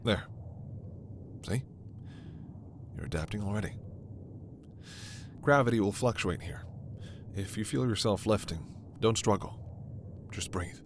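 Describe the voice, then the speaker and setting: Guy acting as alien doctor for scifi asmr, lowish voice, formal but gentle and reassuring, protective, bit of background ambience. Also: background noise. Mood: warm, guiding. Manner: measured, formal, reassuring, soft-spoken asides. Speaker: voice performer. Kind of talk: sci-fi ASMR roleplay acting.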